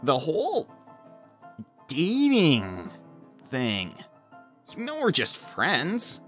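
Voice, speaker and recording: Woman voicing cocky teen male hedgehog, low and slow, brushes everything off. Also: wildly swooping pitch, soft background music, exaggerated voice. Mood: flippant. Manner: flippant, teasing, dismissive. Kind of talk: fan-made cartoon voice acting.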